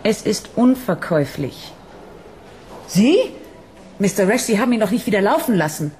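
A woman's voice: mid-range voice, animated, moderate pace, darkish tone, a little hiss or hum, natural voice. A woman playing a captured female android in a German radio drama, poised and bitterly resigned. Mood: guarded.

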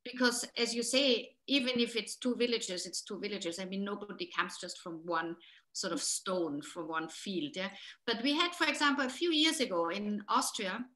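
A middle-aged woman interviewer speaks on a video call from a room lined with books. Her voice has a bright, forward edge, and she searches and rephrases as she puts her questions. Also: medium pitch, normal speed. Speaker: interviewer, host.

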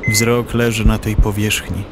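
A man giving a theatrical, deliberate recitation of poetry in an audio play. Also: lowish voice, flat delivery, very noisy. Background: loud music.

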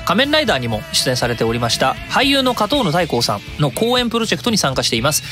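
A man's voice: a little high, very animated, fast, over music. A man in his thirties hosting a web radio show, chatting casually and jokingly and reading out announcements. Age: 30s. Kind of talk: casual comic chat and announcements.

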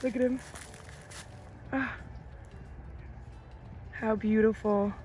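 A young woman in her twenties chatting excitedly on a farm, filming herself with a phone held at arm's length outdoors. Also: a little high, extremely slow, a little hiss or hum. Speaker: vlogger showing her horse property. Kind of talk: casual vlog chat to camera. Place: outdoors on a farm, under a barn roof and in a pasture. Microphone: phone camera held at arm's length.

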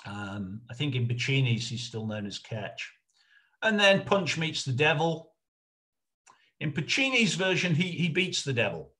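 Slow-paced, halting male lecturer. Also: fairly high pitch, very animated, sharp tone. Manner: halting, hesitant, explanatory. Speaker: lecturer, researcher presenting a talk. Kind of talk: informal illustrated history lecture. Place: indoors, on a video call.

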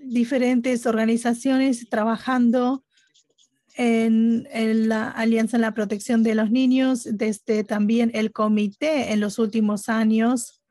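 A woman giving live interpretation at a webinar, speaking haltingly phrase by phrase. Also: on the high side, level tone, mellow, dry recording. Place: remote online conference audio channel.

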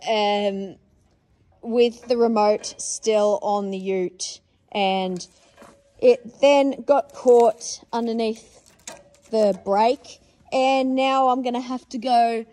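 A woman's voice: a little high, takes it slowly, dark tone, no room sound. A young Australian woman in her twenties chatting casually to a phone camera about farm work, wry and blunt, swearing freely. She films in her car, on a tractor and out in the paddocks. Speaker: farm vlogger. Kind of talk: casual vlog chat. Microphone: phone camera held at arm's length.

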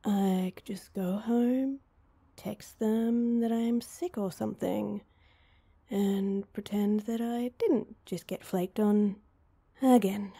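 Woman performing heartbroken young woman, very soft dark tone, creaky voice, hesitant, self-deprecating, intimate audio roleplay. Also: mid-range voice, fairly even intonation, slow, full character voice. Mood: dejected, muttering. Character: heartbroken, awkward young woman stood up on anniversary date.

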